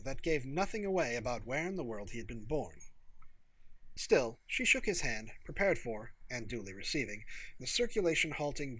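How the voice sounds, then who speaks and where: A man's voice: medium pitch, bright, forceful tone, low-quality recording. A man narrating scripted fiction as an audiobook reader.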